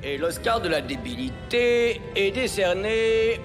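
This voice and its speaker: A man voicing a sarcastic mock award presenter in a French cartoon, pompous and drawn-out. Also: high voice, expressive. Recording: music underneath.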